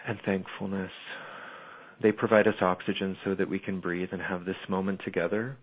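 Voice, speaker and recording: A man leading a slow, soothing guided meditation as a spiritual guide on a call-in show. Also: low-pitched, flat delivery, husky. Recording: phone-line audio.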